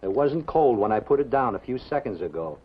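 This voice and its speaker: An actor playing a chatty, droll American diner counterman, husky and slangy, in a 1950s television drama. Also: mid-range voice, expressive, a little fast. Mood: grudgingly obliging. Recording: muffled 1950s television soundtrack.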